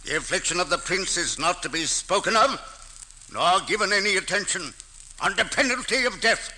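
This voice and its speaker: Stern king, very forceful, sharp. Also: sits high, expressive, normal speed, exaggerated voice, low-quality recording. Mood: stern, decreeing. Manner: authoritative, declamatory archaic speech. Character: stern, commanding English king and father. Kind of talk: old-time radio drama acting.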